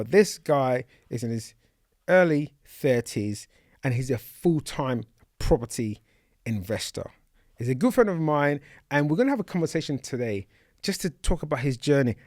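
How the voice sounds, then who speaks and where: A man's voice: medium pitch, dry recording. Man hosting a podcast in a dry studio. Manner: friendly, repeats words, bantering. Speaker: podcast host. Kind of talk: casual interview chat.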